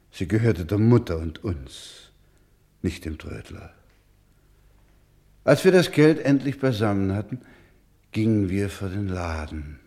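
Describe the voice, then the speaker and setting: Solemn, proud male storyteller for radio drama; low-pitched, very slow, grave and emphatic. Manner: grave, deliberate, emphatic, dropping to hushed tones. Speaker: actor in a radio play.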